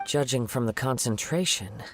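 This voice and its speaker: A man voicing a calm, thoughtful young wandering samurai in a video game, speaking in a measured, formal, reflective way. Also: medium pitch, noisy background, close to an everyday voice. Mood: grave, watchful. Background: game music.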